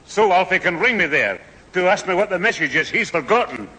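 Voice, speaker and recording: A man's voice: sits high, very animated, a little fast. A man playing an exasperated, scolding old Scottish grandfather in a radio sitcom. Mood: dry. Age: older. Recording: hissy old radio recording, slight hall.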